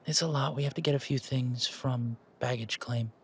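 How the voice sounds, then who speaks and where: A man playing a shocked, grieving young man in an audio roleplay, stammering and halting with a breathy delivery. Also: mid-range voice, fairly even intonation, slight background noise, pitched above the performer's own voice, natural voice. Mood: subdued, awkward.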